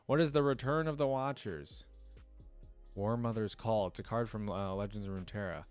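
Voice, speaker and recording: A man's voice: on the low side, normal speed, soft background music. A man hosting a casual reaction video, chatty and musing as he thinks aloud.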